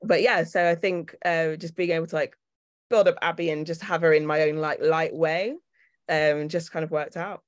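A woman's voice: low voice, steady tone, normal speed, no room sound. A young woman from Leeds with a Yorkshire accent, an actor chatting in a bubbly, laughing way on a video call. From Leeds, England. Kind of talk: casual chat in a video call Q&A. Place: indoor room at home.

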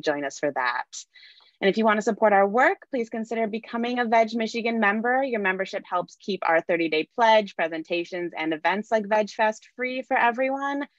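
A young woman with a low voice reads a prepared introduction in a steady, scripted way. Her audio is dry and muffled, like a typical video call connection. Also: no room sound. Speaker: nonprofit media and outreach manager. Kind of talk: reading an event introduction. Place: indoor room, video call.

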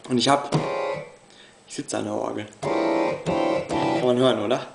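A man's voice: mid-range voice, steady tone, measured pace, gravelly, reverberant, over loud music. A man in his thirties chatting casually in a home video diary, recorded indoors on a camera.

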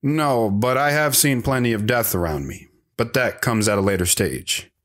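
A man's voice: medium pitch, normal speed, fairly bright tone, close to an everyday voice. A man playing a wanderer recounting his hard life in first-person audio drama narration. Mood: reflective.

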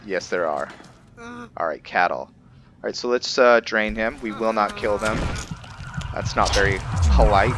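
A man giving casual, halting, explanatory commentary as he hosts a gameplay video. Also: on the low side, noisy background. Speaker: gaming video host. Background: video game ambience.

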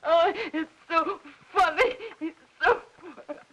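An overwrought American housewife in a 1950s Hollywood melodrama. Her high voice is anxious and halting, that of someone fragile and full of guilt. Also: fairly bright tone, a little hiss or hum, exaggerated voice. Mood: hysterical, tearful.

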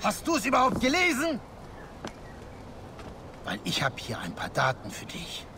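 An actor playing an intense, reproachful rebel leader in his thirties in a dramatic video game, forceful and accusing. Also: extremely high pitch, huge pitch swings, unhurried, background noise, full character voice. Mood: bitter, accusing. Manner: forceful, accusing, swinging from hushed to loud. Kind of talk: dramatic video game acting in German dub.

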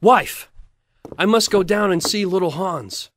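An actor playing a smug, self-righteous miller in an audio drama, with a pompous, preachy manner. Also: male voice, sits high, unhurried, a bit bright, slight background noise. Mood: self-satisfied. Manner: pompous, sententious. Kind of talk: theatrical audio drama acting.

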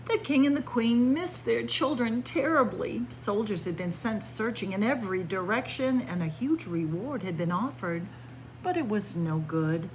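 A woman reading a picture book aloud as a library story time host, expressive and pausing deliberately between phrases. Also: medium pitch, slight background noise, poor audio. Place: indoors in a library, among bookshelves.

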